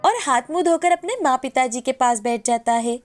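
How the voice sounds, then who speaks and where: A woman's voice: sits high, fairly quick, soft background music. A woman narrator reading a scripted moral tale in storytelling style.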